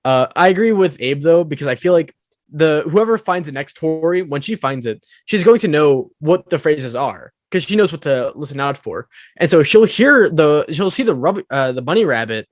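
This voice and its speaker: Relaxed man telling stories, dry mic. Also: on the high side, normal speed, no echo. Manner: relaxed storytelling with quoted asides. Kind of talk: casual podcast chat.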